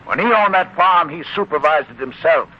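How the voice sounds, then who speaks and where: Proud old-time radio blacksmith father, very expressive. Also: a little high, moderate pace. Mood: proud, firm. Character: proud, loyal, warm-hearted blacksmith father. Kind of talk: 1940s radio drama acting. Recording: muffled, noisy 1940s radio recording.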